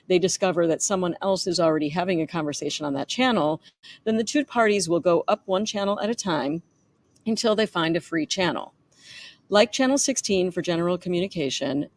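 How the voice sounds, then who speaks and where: A woman's voice: on the low side, a little mellow. A woman author reading aloud from her own memoir in a narrating style.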